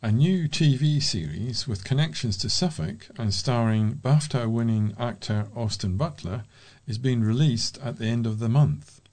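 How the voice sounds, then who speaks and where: A man's voice: medium pitch, moderate pace, darkish tone. A British man reading local news items aloud as a volunteer news reader.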